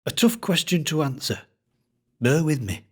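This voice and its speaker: An older Lancashire collector with a thick accent, in a story read aloud, as the narrator puts him on. He drones on in an unhurried, secretive way, his voice lifted higher than the narrator's own. It has a slightly different, altered timbre from the reader's usual voice. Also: big pitch swings, darkish tone, husky. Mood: droning. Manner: droning, unhurried storytelling with dialect grammar. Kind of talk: audiobook character voice.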